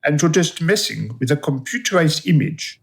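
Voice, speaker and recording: An actor playing a boastful, menacing dead serial killer in a radio drama, sneering and theatrical. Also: male voice, fairly high pitch, very animated, normal speed, gravelly. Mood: wary, sneering.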